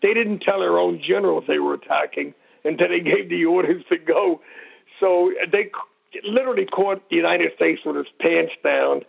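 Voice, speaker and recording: A man with a gravelly, husky voice explaining history informally as an interview guest, rambling and digressing as he tells it. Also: high-pitched, moderate pace. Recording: muffled narrow phone-like line.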